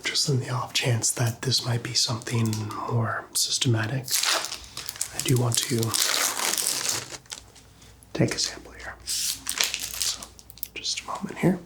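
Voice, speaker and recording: Whispering male ASMR doctor, gentle and unhurried. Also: medium pitch, fairly even intonation, poor audio. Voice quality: hushed, whispery, breathy. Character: calm, reassuring male doctor examining a patient. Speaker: ASMR roleplayer playing a doctor. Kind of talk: ASMR medical roleplay, softly spoken to the listener.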